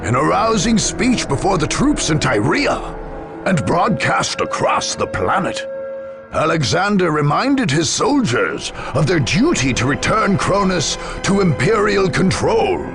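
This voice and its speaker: A man narrates a video game saga in a solemn, formal register. His pitch moves widely and dramatically, with a gravelly edge to the voice. Music plays behind him. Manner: solemn, formal storytelling delivery.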